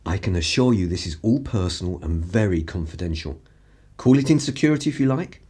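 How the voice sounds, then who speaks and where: Actor as a husky, urbane upper-class English gentleman. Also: deep voice, expressive, a little fast. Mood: defensive, cool. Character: urbane, wry 1930s English journalist and house guest. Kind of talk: period radio play acting.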